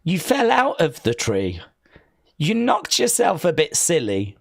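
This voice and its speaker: A man's voice: on the high side, wildly swooping pitch, unhurried, creaky. A man hosting a casual wrestling watch-along stream, reading viewer messages aloud and teasing them playfully. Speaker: live-stream host reading chat.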